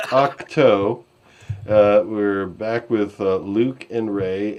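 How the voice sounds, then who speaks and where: A man with a very deep voice hosts a casual podcast. He speaks haltingly and a little scatteredly, with a self-deprecating streak, as if feeling his way through each explanation on an online call. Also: darkish tone, clean tone, dull audio. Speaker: podcast host. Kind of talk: casual podcast discussion recapping a story. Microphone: online call microphone. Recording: voice call with intermittent distortion.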